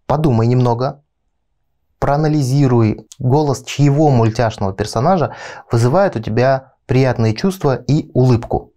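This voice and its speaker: A man's voice: medium pitch, warm tone. A man in his thirties giving an instructional talk as a video coach, explaining step by step and addressing the viewer directly. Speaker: video blog host, coach and trainer. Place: small indoor studio with panelled backdrop. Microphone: lapel mic.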